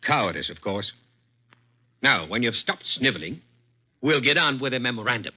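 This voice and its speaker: Dull old radio sound, cold cruel boss, sneering, domineering, very animated mid-range voice. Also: male voice, mid-range voice, moderate pace, husky. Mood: sneering contempt. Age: 30s. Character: cold, cruel, contemptuous male executive in his thirties. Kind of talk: 1940s-50s radio drama acting. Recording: dull vintage radio recording.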